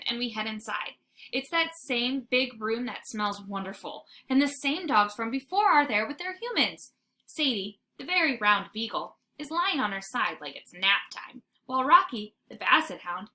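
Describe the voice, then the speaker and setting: Female teacher-style storyteller, bright and expressive, reading to children over faint background noise. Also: on the high side, normal speed. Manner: dramatic, animated storytelling. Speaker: teacher reading aloud to students. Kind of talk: expressive children's book read-aloud. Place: informal homemade recording.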